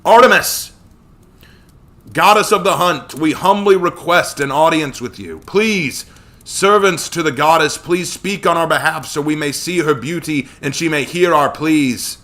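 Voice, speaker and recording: A man's voice: on the low side, normal speed, bright, forceful tone. A man voicing a stern, commanding male guide who gives curt orders in a dramatic fantasy story. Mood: formal pleading.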